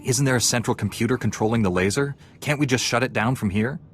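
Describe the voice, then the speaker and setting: An actor playing a composed, earnest Jedi knight hero in a video game, speaking seriously and in a measured way. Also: male voice, mid-range voice, soft background music, close to an everyday voice. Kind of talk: video game cutscene acting.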